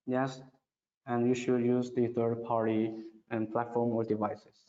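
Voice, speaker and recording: A man who is a non-native English speaker, answering audience questions as a webinar presenter, haltingly, in simple phrases. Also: mid-range voice, little pitch movement, warm tone. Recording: muffled audio in an echoey, reverberant room.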